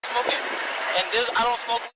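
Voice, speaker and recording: High-pitched rambling young man, very noisy street. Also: little pitch movement, fairly quick. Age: 20s. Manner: rambling, repetitive, slangy. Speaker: civilian stopped by police. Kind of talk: agitated informal pleading. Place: outdoors on a street by traffic. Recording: very noisy outdoor police camera audio.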